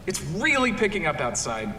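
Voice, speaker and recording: Man acting high-pitched, anxious young-sounding assistant. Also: huge pitch swings, moderate pace, bright, forceful tone, a little hiss or hum, exaggerated voice. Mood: sheepish, concerned. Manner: hesitant, earnest, repeats himself. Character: anxious, deferential male assistant to a professor. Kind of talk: audio horror drama acting.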